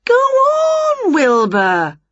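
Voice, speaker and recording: A woman voicing a brash, eager, cheerful witch in a children's storybook, exclaiming with boisterous excitement. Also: extremely high pitch, extremely slow, no echo, lo-fi sound, voice pushed up, exaggerated voice. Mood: coaxing.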